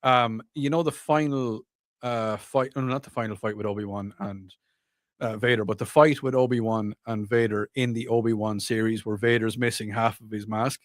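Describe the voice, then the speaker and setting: Irish man, podcast co-host, animated and repetitive, dry close mic. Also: fairly low pitch, normal speed, dry recording. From Ireland. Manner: excitable, repeats himself when worked up. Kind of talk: casual chat.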